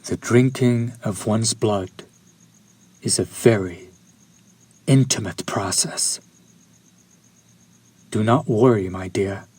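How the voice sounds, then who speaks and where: A man's voice: fairly low pitch, slightly rough. A man playing a courtly, centuries-old vampire in an intimate audio roleplay, speaking to the listener with formal, old-fashioned politeness. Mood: tender, seductive.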